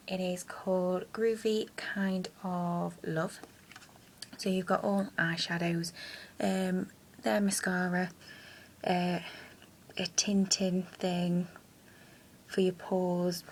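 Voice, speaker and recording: A young woman with a croaky voice, chatting casually through a makeup tutorial at home with hesitant fillers. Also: lowish voice, slight background noise. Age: young adult. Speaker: makeup vlogger. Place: small room at home, indoors. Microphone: built-in camera mic at close range.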